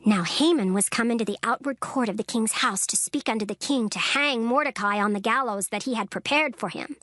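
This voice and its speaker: A woman narrating a dramatized scripture recording in a measured, solemn storytelling manner. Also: a little high, fairly bright tone, no echo, clean studio sound. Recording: narration over clear background music. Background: background music.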